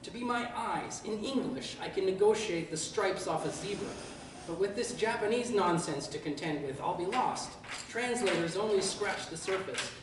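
Brief: reader playing a blind lumber tycoon, brisk and proud; distant, echoey room with ambient noise. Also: mid-range voice, expressive, pitched above the performer's own voice, natural voice. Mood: brusque, assured. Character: blind lumber baron, adult man, brusque and proud. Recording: echoey room, far from mic, background noise.

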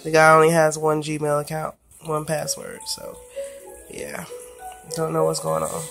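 Flat-voiced woman asking for phone help. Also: low-pitched, a little slow, gravelly, loud music behind the voice. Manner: flat, frustrated help request. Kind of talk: casual phone screen recording. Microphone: phone camera filming a handheld screen.

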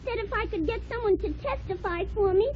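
A woman's voice: very high-pitched, brisk. A woman voicing an eager, polite young boy jackknife in a 1940s radio play, earnest and hesitant. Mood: nervous, pleading. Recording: muffled old radio recording.

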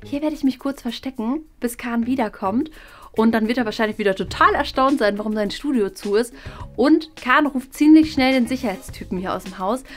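Higher-voiced young woman vlogging. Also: a little fast. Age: young adult. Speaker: vlog host. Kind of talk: casual vlog talk to camera, prank challenge. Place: parked car, small tiled toilet, small studio room. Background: background music.

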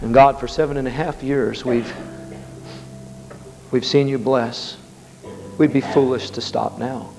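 A man prays fervently aloud with a gravelly edge to his voice. The sound trails off with hall reverb behind him. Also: mid-range voice, steady tone, unhurried, long pauses, darkish tone, soft background music. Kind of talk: public prayer in a church service.

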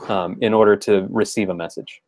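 A man's voice: lowish voice, steady tone, a little fast, a little mellow, no room sound. Easygoing man, very dry sound. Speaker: software developer assisting a demo. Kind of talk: casual chat on a team call. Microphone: video call microphone.

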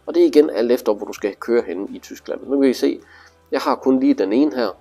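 A man's voice: mid-range voice, mellow, soft background music. A man giving a casual, hands-on tutorial, explaining things informally step by step. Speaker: instructor demonstrating motorcycle display.

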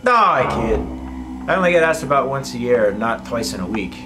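A man playing a deadpan American voodoo shaman. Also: mid-range voice, moderate pace, over music, natural voice. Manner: deadpan, keeps joking "I kid". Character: deadpan male voodoo shaman, middle-aged. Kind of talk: amateur film acting.

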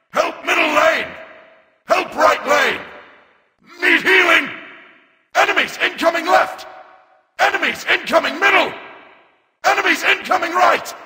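An actor playing a grim, battle-hungry robotic reaper warrior in a video game, barking short, commanding callouts. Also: male voice, very high-pitched, big-room sound, heavily acted. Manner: forceful, barked short commands.

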